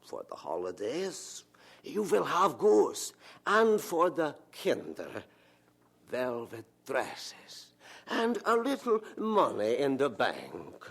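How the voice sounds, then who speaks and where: Old german dad in a radio play, creaky voice, slow n plaintive, feels sorry for himself. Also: male voice, on the high side, huge pitch swings, fairly bright tone, exaggerated voice. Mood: wheedling. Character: self-pitying, worldly old German father, nagging his son. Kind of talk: radio drama acting.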